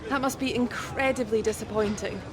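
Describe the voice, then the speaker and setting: A woman's voice: on the high side, steady tone, a little fast, a crowd in the background, natural voice. A woman playing a polite, professional motorsport reporter doing probing interviews in a video game cutscene. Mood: sympathetic, probing.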